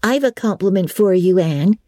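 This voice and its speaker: A romantic, chatty teenage girl, played by a woman narrator, high in pitch and eager to share. Her manner is gushing, her tone soft, in a dry storybook recording. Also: lively intonation, normal speed, no echo, natural voice. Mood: eager, admiring.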